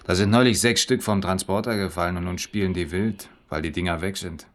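A police inspector in a German radio play. His voice is fairly low, and he probes in a wry, colloquial way with little needling questions. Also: fairly even intonation, fairly quick, fairly bright tone. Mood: casual probing. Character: wry, casually probing male police inspector.

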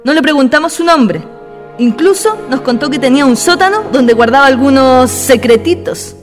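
Radio drama: female actor as earnest young woman, very high voice, reverberant sound, curious by temperament. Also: expressive, moderate pace. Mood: pleading. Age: young adult. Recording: long reverb, faint music.

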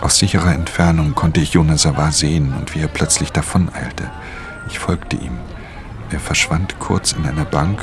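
A man playing a professional pickpocket who recounts his theft in measured, breathy, half-whispered radio drama narration. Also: very deep voice. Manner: measured, hushed storytelling. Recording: music under the voice.

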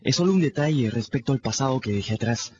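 A man's voice: mid-range voice, lively intonation, fast, mellow, breathy edge. An actor in a radio drama playing an earnest, principled young émigré nobleman, speaking formally. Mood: earnest confession. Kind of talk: Spanish-language radio drama acting. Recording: dull audio, faint noise.

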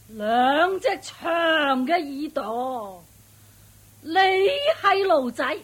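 Scolding witch, Hong Kong Cantonese, very high voice, bright edge, nagging, vengeful, radio drama. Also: exaggerated voice. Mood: spell-casting, frenzied. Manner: nagging, taunting, drawn-out emphatic delivery. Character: shrewish, domineering witch woman, scolding and vengeful. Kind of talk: theatrical radio drama acting.

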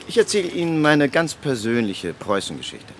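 Animated, emphatic male lawyer. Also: mid-range voice, a little hiss or hum. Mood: confiding, emphatic. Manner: emphatic, dramatic pauses. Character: excitable male lawyer, indignant, relishing his personal tale. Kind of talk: radio drama monologue.

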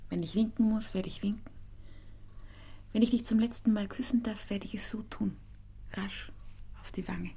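An actress playing a dreamy, yielding young woman in love in a radio play, speaking in a hushed, deliberate way, often nearly whispering. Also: medium pitch, fairly even intonation, breathy edge. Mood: hushed, intimate. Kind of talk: German radio play acting.

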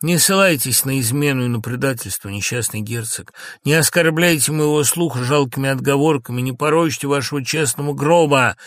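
A man's voice: medium pitch, expressive, normal speed, a bit bright, no echo, voice pushed up. Man acting anguished duke, imperious, theatrical declamation, pleading, stage tragedy, dry recording. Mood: scornful. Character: anguished, imperious Italian duke, proud and wildly agitated. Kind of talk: dramatic play reading.

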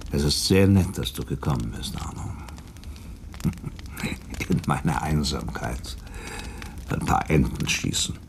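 An actor playing a weighty, plain-spoken industrial director in a German radio play, gravelly and husky. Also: male voice, extremely low pitch, animated, slow pace, long pauses, a little hiss or hum, full character voice. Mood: cordial.

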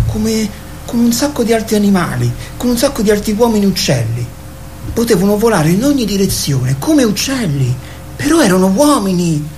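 A woman's voice: lowish voice, a little mellow, slight background noise. A woman acting a young immigrant girl, dreamy and stubborn, in an Italian radio play.

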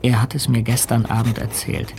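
An actor playing a calm, authoritative ship's captain in a radio play, courteous and reflective. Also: male voice, medium pitch, normal speed, background noise, close to an everyday voice. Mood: hushed narration. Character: composed, authoritative male ship's captain on polar voyage.

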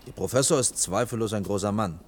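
An actor playing a dry, schoolmasterly chief architect in a radio play, proud and reproving, speaking formally as if lecturing. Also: male voice, lowish voice, fast talker, a little hiss or hum, close to an everyday voice. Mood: stern, condescending. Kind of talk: 1980s radio play dialogue.

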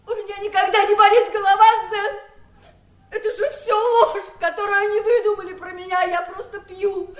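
An actress playing a fragile, unhappy middle-aged aunt of faded gentry, emotional and confiding, in theatrical 1970s radio drama style. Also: female voice, very high-pitched, little pitch movement, moderate pace. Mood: agitated, tearful. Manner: emotional, tremulous, confiding, repeats herself. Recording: roomy vintage radio play recording.

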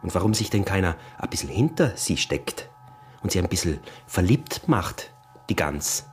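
Deep-voiced austrian peasant smuggler, sly scheming husband, pushy, old-time village radio play. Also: male voice, sing-song, moderate pace, slight background noise. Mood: agitated, suspicious. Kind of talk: radio drama acting in dialect.

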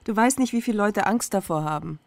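A woman's voice: medium pitch, expressive, fast, creaky, dry recording. A woman playing a blunt, sceptical activist in a radio crime drama, terse, dry and insistent. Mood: urgent. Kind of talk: German radio crime drama acting, intimate dialogue.

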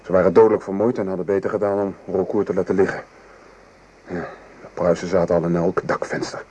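A man playing a weary French artillery sergeant in a radio play, gravely recounting the horrors of war. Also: low voice, little pitch movement, fast talker, dark tone, close to an everyday voice. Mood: grim, appalled. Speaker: actor. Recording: noisy old radio play recording.

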